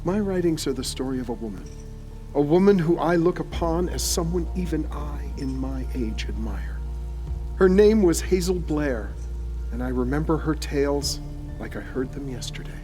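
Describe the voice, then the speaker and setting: A man's voice: a little high, darkish tone. A man playing an aged, dying writer who narrates an audio horror drama in a solemn, formal, literary manner. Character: aged dying male writer, solemn, penning a final warning.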